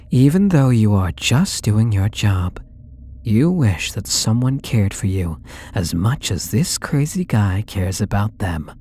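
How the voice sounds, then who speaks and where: A man's voice: lowish voice, a little mellow, slightly rough, heavily acted. A young man playing a possessive, sweetly menacing male stalker in an ASMR roleplay audio drama, with a taunting, coaxing manner. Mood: teasing, knowing. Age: young adult. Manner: slow, taunting, coaxing delivery.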